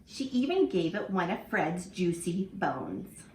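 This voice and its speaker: A woman's voice: fairly low pitch, slow. Woman teacher reading a picture book to little kids, bouncy voice, echoey room, faint hum behind. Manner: animated, asks the listener questions.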